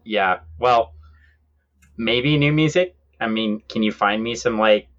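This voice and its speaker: A man's voice: on the low side, slow pace. Man podcasting, slightly dull audio. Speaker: podcast co-host. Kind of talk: casual explanatory chat about comics history.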